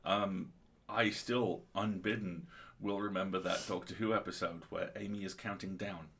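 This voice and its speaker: A man's voice: low voice, steady tone, normal speed, gravelly, a bit bright. A man co-hosting a casual literary discussion, speaking in an enthusiastic, articulate and opinionated way. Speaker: co-host of a story reading livestream.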